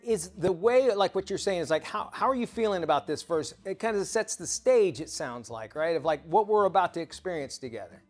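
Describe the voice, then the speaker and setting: A middle-aged man hosting a TV talk show and interviewing a guest in a chatty, animated way that often breaks into laughter. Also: sits high, brisk, few pauses, faint music behind.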